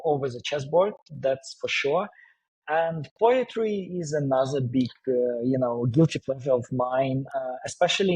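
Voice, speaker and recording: Hesitant man, husky voice. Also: medium pitch, a little mellow, no room sound, poor audio. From Siberia, Russia. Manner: hesitant, many fillers and restarts. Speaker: crypto startup co-founder, guest. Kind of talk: casual podcast interview.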